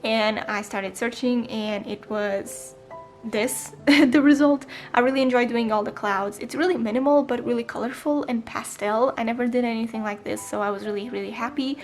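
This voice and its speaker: Chatty young woman vlogging over soft music. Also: on the high side, normal speed. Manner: chatty, frequent ums and self-corrections. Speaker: planner vlogger. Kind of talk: casual voice-over flip-through.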